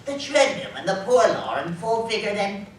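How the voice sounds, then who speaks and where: A woman's voice: mid-range voice, animated, moderate pace, lightly acted. A woman playing a bitter, miserly old man in a live radio drama, scornful, curt and emphatic. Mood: cold, sardonic. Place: live community theatre performance. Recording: roomy live stage, distant mic, faint noise.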